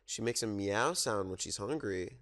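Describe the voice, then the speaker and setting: A man reading a children's picture book aloud, pausing to prompt listeners for guesses. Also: lowish voice, expressive, a bit bright. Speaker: storybook reader at a children's story time.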